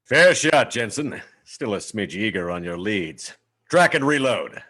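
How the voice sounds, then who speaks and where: A man playing a genteel, smugly polite horse-headed alien starship captain in a comic script read, clipped and husky. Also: fairly low pitch, big pitch swings, a little slow, bright, forceful tone, heavily acted. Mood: crisp command.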